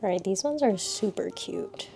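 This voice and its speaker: A woman with a slightly scratchy voice from a cold, chatting casually and unhurriedly in a low-energy voice-over about her craft supplies. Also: animated, normal speed, vocal fry. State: a little sick, scratchy voice. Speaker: art vlogger.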